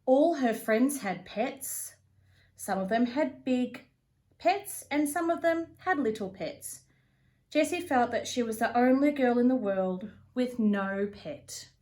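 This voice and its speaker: Slow, high-voiced woman reading to children. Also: expressive, darkish tone. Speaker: storyteller reading a children's picture book. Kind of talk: read-aloud for young children.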